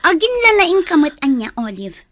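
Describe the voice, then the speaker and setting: An actress playing a proud, resentful young girl in a radio drama, snapping in a sharp, scornful manner. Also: sits high, very animated, normal speed. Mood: accusing, indignant. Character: proud, resentful young girl who snaps at her friend.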